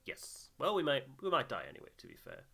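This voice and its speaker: A man's voice: mid-range voice, huge pitch swings, fast talker, vocal fry, pushed and bright, husky. A man hosting a voiced game playthrough, moving between casual chat and reading narration aloud.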